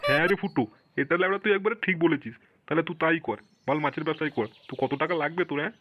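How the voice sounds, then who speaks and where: A man playing a gruff, excitable middle-aged village father in a comic cartoon, exclaiming in slangy speech. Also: on the high side, very fast, natural voice. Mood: pleased. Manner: exclaiming, slangy, tag questions. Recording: dull lo-fi audio with some noise.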